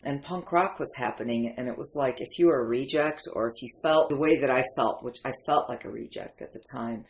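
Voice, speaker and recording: A woman's voice: a bass voice, brisk, few pauses, poor audio. A woman in her fifties with an American accent, originally from Syria, talking candidly and emphatically as an interview guest. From Syria, then Detroit area in the United States. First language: not English. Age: 50s. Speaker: interview guest, memoir writer. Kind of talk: conversational personal storytelling.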